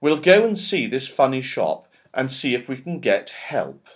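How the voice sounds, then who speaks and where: A man's voice: medium pitch, very animated, unhurried. A man voicing a plucky, sensible young boy who takes the lead in a children's storybook. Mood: insistent, decisive.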